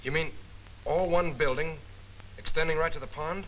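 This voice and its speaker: An actor playing a skeptical, probing intelligence officer in a 1940s training film, questioning dryly. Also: male voice, a little high, fairly even intonation, normal speed, fairly bright tone, natural voice, rough recording. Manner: dry, questioning, slightly wry. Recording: 1940s film soundtrack with faint hiss.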